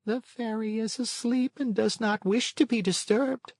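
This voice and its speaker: Man putting on a high, unhurried lady snail. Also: dry recording. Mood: calm, unhurried. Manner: calm, peaceful, unhurried. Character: slow, calm, unhurried female snail servant.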